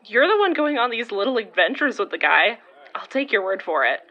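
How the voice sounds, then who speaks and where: A woman's voice: on the high side, very animated, brisk, lo-fi sound. A woman playing a reasonable, teasing young woman in a naturalistic audio drama. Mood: reasoning, teasing. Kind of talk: naturalistic horror fiction podcast acting.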